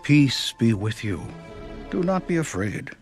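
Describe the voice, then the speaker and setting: An actor playing a calm household steward in a Bible audio drama, soothing and reassuring. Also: male voice, medium pitch, unhurried, faint music behind. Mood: calm reassurance.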